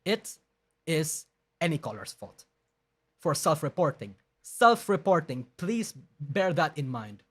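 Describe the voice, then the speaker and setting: A man's voice: a little high, big pitch swings, takes it slowly, fairly bright tone, breathy edge. A man live-streaming, reading a document aloud and rebutting it in an emphatic, argumentative way, often restarting his sentences and swearing bluntly. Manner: blunt and profane.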